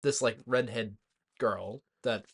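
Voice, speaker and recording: A man's voice: medium pitch, fairly even intonation, slow, gravelly. A tipsy man co-hosting a podcast, chatting casually about movies in a rambling, hesitant way. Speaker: podcast co-host.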